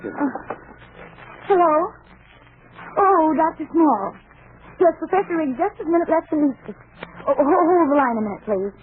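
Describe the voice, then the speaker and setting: An actress playing a plucky, quick-witted young American woman innkeeper in a 1940s radio comedy, on a noisy vintage recording. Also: high voice, lively intonation, narrow, tinny audio. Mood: flustered.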